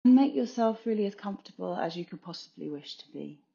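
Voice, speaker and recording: A woman's voice: medium pitch, lively intonation, quick pace, gravelly, warm tone. A woman soothingly guiding a yoga nidra meditation in short phrases with gaps between them, on a slightly muffled session recording. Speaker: meditation guide, consultant.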